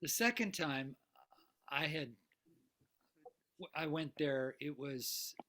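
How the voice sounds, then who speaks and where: A man slowly recalling his past on a video call, hesitating and restarting often. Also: on the high side, animated, bright, forceful tone. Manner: slow, hesitant, with many restarts. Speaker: satsang participant. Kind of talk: personal recollection on a video call.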